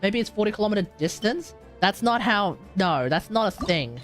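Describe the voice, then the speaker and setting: A man chatting casually and excitably on a gaming livestream, rambling and correcting himself as he goes. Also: high voice, normal speed, faint music behind. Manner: animated, rambling, exclamatory, self-correcting. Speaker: live streamer.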